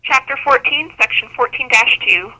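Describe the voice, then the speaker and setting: Bright, procedural woman official on phone. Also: sits high, moderate pace, low-quality recording. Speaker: city clerk official. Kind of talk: customer service phone call.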